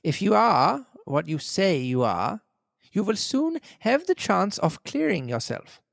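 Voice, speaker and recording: A man voicing a cold, calm villain. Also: sits high, creaky, no room sound, clean studio sound, big-room sound, voice pushed up, exaggerated voice. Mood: menacing. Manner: calm, measured, threatening. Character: cold, menacing, clever male villain.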